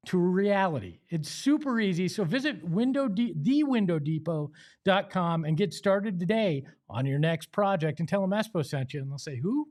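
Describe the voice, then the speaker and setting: Emphatic American man, higher-pitched voice. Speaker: sports show host. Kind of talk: opinionated sports talk and host-read ads.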